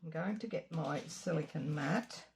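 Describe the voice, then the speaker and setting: A woman with a slightly croaky throat giving a chatty card-making tutorial, drifting into asides while she works. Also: sits low, measured pace, darkish tone, slight background noise. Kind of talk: casual craft tutorial. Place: home craft room.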